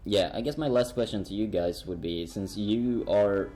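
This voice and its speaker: A man in his twenties interviewing people over casual voice chat, asking halting, filler-heavy questions. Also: fairly low pitch, mellow, low-quality recording. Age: 20s.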